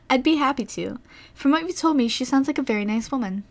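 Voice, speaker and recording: A woman playing a shy, polite young woman in a wartime audio drama, speaking softly and hesitantly. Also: high voice, expressive, normal speed. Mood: polite, pleased.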